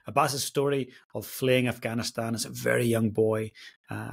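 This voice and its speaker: A man from Northern Ireland hosts a podcast in a mid-pitched voice. He introduces his guest and asks his questions earnestly and with enthusiasm. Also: creaky. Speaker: podcast host. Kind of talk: podcast interview.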